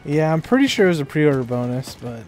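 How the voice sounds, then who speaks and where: A man's voice: fairly high pitch, very animated, quick pace, a little mellow, a little hiss or hum, poor audio. A man talking casually with friends while playing a co-op video game. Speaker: co-op gamer. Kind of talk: casual chat while gaming. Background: game music.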